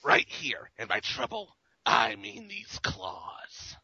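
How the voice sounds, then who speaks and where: A man voicing a gruff, cocky superhero brawler spoiling for trouble, in an amateur cartoon parody reading over a muffled internet call. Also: on the low side, big pitch swings, takes it slowly, bright, forceful tone, no echo, exaggerated voice. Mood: cocky, menacing.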